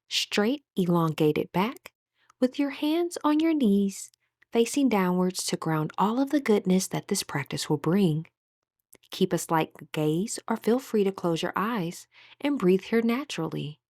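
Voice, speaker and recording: A woman leading a guided meditation as instructor, speaking in a calm, soothing, measured way with pauses. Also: mid-range voice, no room sound, clean studio sound.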